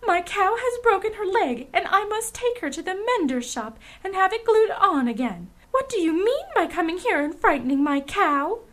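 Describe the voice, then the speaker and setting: A woman's voice: very high voice, lively intonation, moderate pace, few pauses, fairly bright tone, voice pushed up. A woman voicing a vexed little china milkmaid in a storybook, scolding angrily in exclamations. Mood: angry, scolding.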